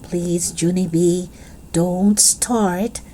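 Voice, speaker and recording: A woman's voice: lowish voice, steady tone, dark tone, slight background noise, pitched below the performer's own voice. Woman playing a firm, weary storybook mother. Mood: weary, chiding. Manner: firm, measured, chiding. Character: firm, weary mother of an energetic small girl.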